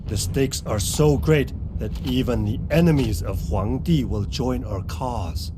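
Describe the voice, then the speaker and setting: An actor playing a wise, solemn old leader with a measured manner in a video game cutscene. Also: male voice, mid-range voice, background noise, lightly acted. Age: older. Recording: music behind the voice.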